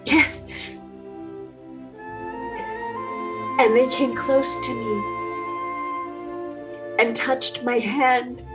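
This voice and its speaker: An actress playing an emotional, idealistic woman in a 1930s radio play, halting and tremulous. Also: on the high side, fairly even intonation, loud music behind the voice. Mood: moved, fervent. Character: emotional, idealistic American woman addressing refugee children.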